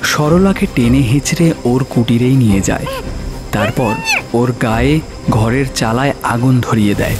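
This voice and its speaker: A man's voice: mid-range voice, a little slow. Male horror-story narrator over music. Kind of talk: dramatic scripted narration.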